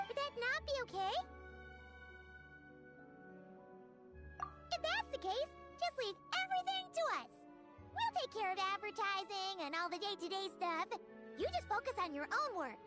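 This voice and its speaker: Tiny squeaky game fairy sidekick, super high pitched and perky, all over the place, eager. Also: female voice, sing-song, brisk, over music. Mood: earnest. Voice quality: squeaky, childlike. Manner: refers to self in third person, perky. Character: small excitable childlike floating fairy girl companion, eager. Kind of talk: video game character dialogue.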